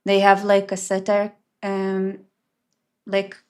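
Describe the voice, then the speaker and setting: A woman's voice: mid-range voice, monotone, slow, smooth. A woman co-hosting a casual chat, rambling with many fillers and restarts. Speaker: co-host.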